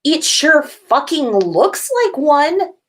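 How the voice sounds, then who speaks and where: A woman's voice: high-pitched, very animated, slow pace, voice pushed up, exaggerated voice. A woman voicing an exasperated, sarcastic teenage wizard boy in a fan fiction story reading. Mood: indignant. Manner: indignant, loud, sarcastic.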